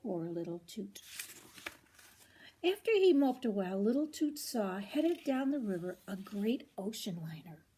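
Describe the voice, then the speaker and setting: Husky older woman reading a children's story. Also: medium pitch, measured pace. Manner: expressive, grandmotherly, commenting on the pictures. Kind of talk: read-aloud storytelling with short asides.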